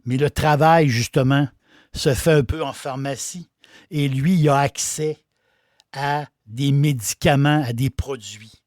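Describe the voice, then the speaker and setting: A man's voice: medium pitch, a little slow, darkish tone, dry recording, clean studio sound. A man with a Québécois French accent, an innkeeper hosting a casual, folksy talk who often repeats his words. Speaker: innkeeper host. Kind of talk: casual talk about a liqueur.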